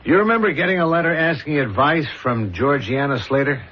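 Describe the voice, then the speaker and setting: An actor playing an earnest, dogged homicide inspector in a 1970s radio drama, serious, probing and deliberate, on a dull old recording. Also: male voice, a little high, husky. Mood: probing, businesslike.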